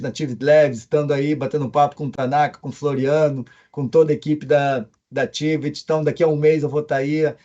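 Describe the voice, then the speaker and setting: A man with a marked Carioca accent gives event remarks from abroad over a video call. His voice is mellow, and he pauses often on fillers. The audio is dull and lo-fi. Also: on the high side. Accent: Carioca Portuguese. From Brazil. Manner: hesitant, with frequent fillers. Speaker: company co-founder speaking remotely. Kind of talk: presentation remarks.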